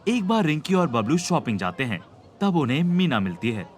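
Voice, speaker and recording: A man's voice: a little high, sing-song, normal speed. A man reading scripted narration for a cartoon story. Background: background music.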